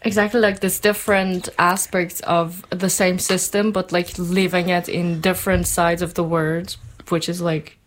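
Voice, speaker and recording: A woman's voice: lowish voice, little pitch movement, normal speed, hardly any pauses, rough recording. A woman chatting casually as a talk show guest, informal and often searching for words. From Iran. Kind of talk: casual conversational chat.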